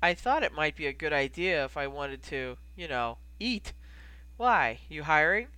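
Narrator acting tipsy young man, eager, casual, blunt, bright tone, lively pitch, storybook dialogue. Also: a little slow, clear, resonant tone, no room sound. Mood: gloomy.

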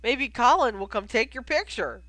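Storybook sidekick, acted by a reader: drunk young man, cheerful, teasing, lively bright delivery. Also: mid-range voice, normal speed, no echo, pitched above the performer's own voice. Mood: muddled. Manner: mock-serious, playful.

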